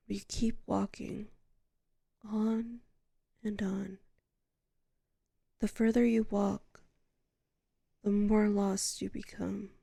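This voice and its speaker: A soothing female librarian hypnotist played by a woman murmurs a sleep ASMR roleplay right up at the mic. Her soft, breathy half-whisper is very slow and heavily creaky, in a dry space with no echo. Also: medium pitch, full character voice. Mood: soothing, drowsy. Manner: slow, soothing, hushed, lingering pauses. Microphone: close microphone.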